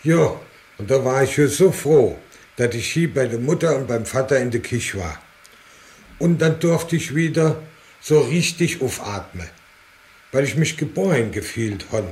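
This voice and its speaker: An earnest older village man played by a male actor remembers the war in a radio play. He speaks plain, colloquial southwestern German dialect at a medium male pitch, like a rustic eyewitness. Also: expressive, a little slow, darkish tone, lightly acted. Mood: moved, emphatic. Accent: southwestern German regional dialect. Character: earnest older village war veteran recounting his past on camera. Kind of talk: rustic spoken eyewitness recollection.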